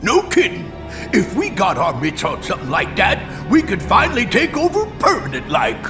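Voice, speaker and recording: A man voicing a big, oafish cartoon villain in a video game, gravelly, brash and slangy. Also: high-pitched, huge pitch swings, slow, music in the background, exaggerated voice. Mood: greedy, doubtful.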